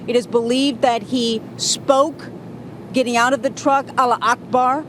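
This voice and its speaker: A woman's voice: steady tone, measured pace, fairly bright tone. A woman delivering a live television news report as a reporter in the field. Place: outdoors on a city street. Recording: noisy outdoor street, traffic rumble.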